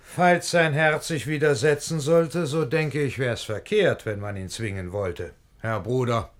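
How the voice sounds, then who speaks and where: An actor playing a calm, wry middle-aged gentleman, speaking courteous, measured rhymed verse in a radio play. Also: mid-range voice, close to an everyday voice. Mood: reasonable.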